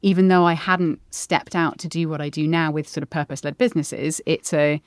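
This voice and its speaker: Woman in her forties as a reflective interview guest; measured pace, slightly low pitch, dry sound. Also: fairly even intonation, clean tone, no echo. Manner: thoughtful, measured, pauses with ums. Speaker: podcast guest. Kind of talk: reflective conversation.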